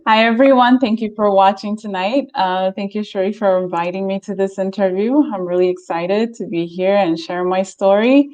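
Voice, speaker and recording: A woman's voice: medium pitch, steady tone, moderate pace, few pauses, darkish tone, muffled recording. A woman in her thirties from Ethiopia, telling her personal story casually as an interview guest, chatty with light laughter in her talk.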